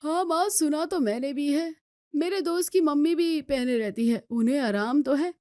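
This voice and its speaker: A woman storyteller voices an easygoing grown son in a family drama story. The delivery is mild and agreeable, pitched on the high side, with a dry studio-like closeness. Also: moderate pace, dark tone, no room sound, natural voice. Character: easygoing young adult son, mild-mannered husband.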